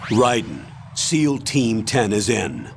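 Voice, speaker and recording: An actor playing a stern, authoritative older military colonel in a video game, commanding, measured and formal as he gives a mission briefing. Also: fairly low pitch, big pitch swings, gravelly, background noise, full character voice. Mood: briefing, businesslike.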